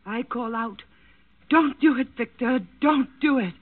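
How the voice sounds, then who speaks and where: An actress playing an anguished countess, pleading melodramatically in a 1940s radio drama. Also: female voice, sits high, a little slow. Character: anguished countess pleading desperately with her man. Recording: muffled old radio recording.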